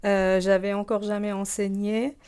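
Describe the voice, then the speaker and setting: A woman from Iran with a Persian accent, a radio guest speaking French as a second language and pausing often with fillers. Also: mid-range voice, level tone, a little mellow, clear, resonant tone. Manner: hesitant, many fillers. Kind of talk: radio interview. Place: radio studio.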